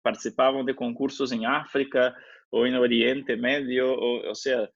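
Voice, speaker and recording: A man's voice: medium pitch, level tone, unhurried, creaky, no room sound. A man hosting an interview over a video call, prompting his guest with questions. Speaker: host. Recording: dull lo-fi video-call audio.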